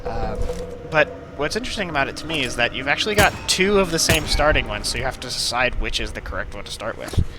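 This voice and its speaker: A man's voice: mid-range voice, lively intonation, fast talker. A man giving casual, playful let's play commentary as host of a gaming video. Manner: jokey, playful. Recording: game music and gunfire behind. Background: game music, gunfire.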